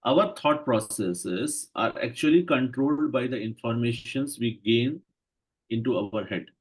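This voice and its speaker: A man gives a lecture in in short, measured phrases and stops after each one so it can be interpreted. His voice is husky and a little rough, pitched in an ordinary middle range. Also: rough recording. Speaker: Ayurveda lecturer. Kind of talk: lecture in English, interpreted consecutively.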